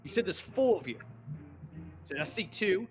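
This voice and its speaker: A gruff, suspicious caravan guard who snaps out short commands, as a man puts him on. He sits a touch high in pitch. Soft music plays underneath. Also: very animated, normal speed. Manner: barking orders.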